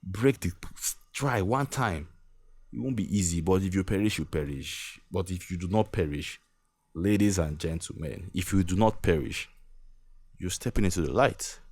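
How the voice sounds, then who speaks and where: Man, Nigerian accent, husky, dry close sound, repeats himself, motivational podcast host. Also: low voice, moderate pace, darkish tone, no echo. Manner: earnest, repetitive, laughs between points. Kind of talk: motivational monologue.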